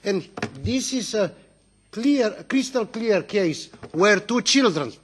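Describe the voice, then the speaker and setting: A man's voice: high-pitched, animated, slight background noise. A man from Romania, giving an emphatic, indignant speech as a member of parliament at a committee hearing. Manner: indignant, emphatic, with halting restarts.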